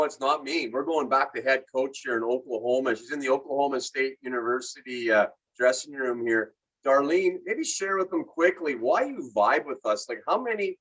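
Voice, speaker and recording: Guy co-hosting, upbeat, passes it on quick. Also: medium pitch, fairly bright tone, husky, low-quality recording. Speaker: co-host handing over segments.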